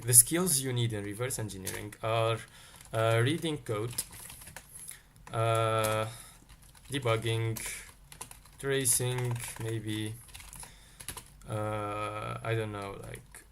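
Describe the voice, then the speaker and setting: A man's voice: mid-range voice, slow, a bit bright, a little hiss or hum. A man from Tunisia, teaching a live-streamed coding lesson. He thinks aloud and answers chat questions as they come in. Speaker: livestream tech teacher.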